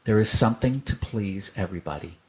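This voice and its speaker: A man's voice: on the low side, fairly quick, rough recording. A man narrating a children's story as a scripted storyteller.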